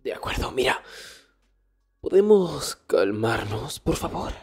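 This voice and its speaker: A man playing an arrogant nerdy young student in an intimate ASMR roleplay, hushed and often dropping into whispers. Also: mid-range voice, big pitch swings, takes it slowly, slightly rough, faint music behind, heavily acted. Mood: exasperated. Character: arrogant nerdy young male student, exasperated, teasingly dominant. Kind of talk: Spanish ASMR boyfriend roleplay, intimate one-sided dialogue.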